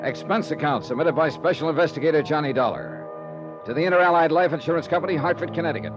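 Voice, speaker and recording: A man's voice: fairly high pitch, quick pace, music in the background. A man narrating and acting the lead investigator in a 1950s radio drama, wry and matter-of-fact. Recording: dull old radio transcription.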